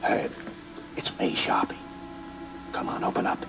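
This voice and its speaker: A man's voice: a little slow, in a whisper, lightly acted. An actor playing a jumpy, tough henchman in 1940s film noir style. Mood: urgent. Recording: old film soundtrack with loud music over speech.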